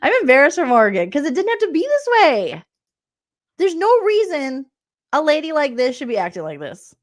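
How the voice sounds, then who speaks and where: A woman's voice: high-pitched, wildly swooping pitch, moderate pace. A woman comedian recapping a reality show, mocking the players and slipping into exaggerated voices. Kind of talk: comedic recap with quoted impressions.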